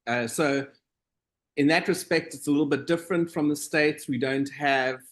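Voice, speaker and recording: A middle-aged man from South Africa, a nurse being interviewed, talking in a relaxed, meandering way with frequent pauses. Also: fairly high pitch. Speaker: guest, operating room nurse. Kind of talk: casual interview conversation.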